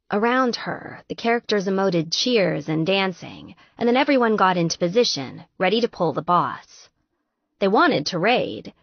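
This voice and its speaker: A woman narrating an audiobook novel in an expressive, scripted storytelling style. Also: medium pitch, creaky, dry recording, lo-fi sound.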